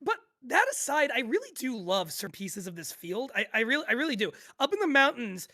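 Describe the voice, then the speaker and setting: A woman's voice: fairly high pitch, big pitch swings, a little fast, bright, forceful tone, heavily acted. A woman playing an amused, exasperated sports-fan co-host in a scripted podcast table read, chatting casually and swearing freely. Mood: amused exasperation. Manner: conversational, amused, giggly, sweary. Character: animated, exasperated sports-fan co-host recounting an absurd endless football game.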